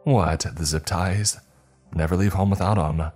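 A man voicing a steady, practical young woman who tries to calm others and takes charge. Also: low voice, unhurried, soft background music.

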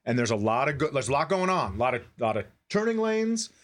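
A man's voice: mid-range voice, big pitch swings, quick pace, fairly bright tone. A middle-aged American man co-hosting a podcast, telling comic stories casually in a wry, profane way and acting out the dialogue. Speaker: podcast co-host. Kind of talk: casual comedic storytelling.

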